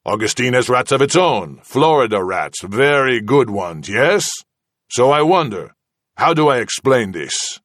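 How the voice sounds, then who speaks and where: A cruel commander taunts his captive in a mocking, singsong menace, his voice lifted high above the narrator's own. A man puts him on in a dry, close reading with no room sound. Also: big pitch swings, unhurried, fairly bright tone, no room sound. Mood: menacing mockery. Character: cruel sneering Spanish commander, menacing, toying.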